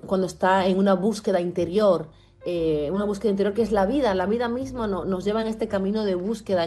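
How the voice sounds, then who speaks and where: A woman's voice: mid-range voice, a little mellow. Woman musician speaking with careful articulation. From Cuba. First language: French. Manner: deliberate, carefully articulated, earnest. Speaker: musician, interview guest. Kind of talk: reflective interview answers.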